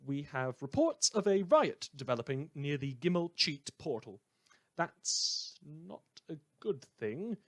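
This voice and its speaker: A man playing a wry community radio host in a fan audio drama, delivering scripted narration in a measured, theatrical deadpan. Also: on the high side. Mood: hesitant, understated. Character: wry male community radio host telling eerie tales.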